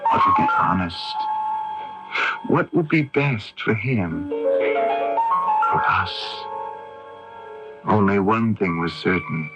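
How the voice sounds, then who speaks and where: Slow, grave son over loud music. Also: male voice, lowish voice. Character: grave, troubled grown son recounting a family crisis. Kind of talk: radio drama narration in first person. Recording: dull AM radio tape, loud music behind. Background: dramatic music.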